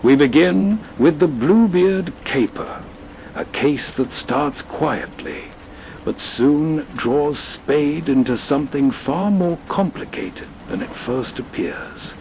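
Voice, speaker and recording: A male radio host reads a late-night introduction at a slow, measured pace. His voice sits a little high and sounds soothing, over faint train rumble. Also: expressive, darkish tone. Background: train ambience.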